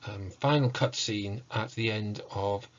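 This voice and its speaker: Low-voiced male lecturer, slightly muffled. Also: unhurried. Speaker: academic lecturer. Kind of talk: academic presentation, scripted narration.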